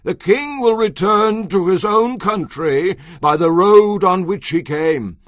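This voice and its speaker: An actor playing a stern prophet who declares God's word in a solemn, emphatic, declamatory way for a dramatized audio Bible. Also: male voice, sits high, slow, a bit bright, dry recording, clean studio sound. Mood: solemn, proclaiming.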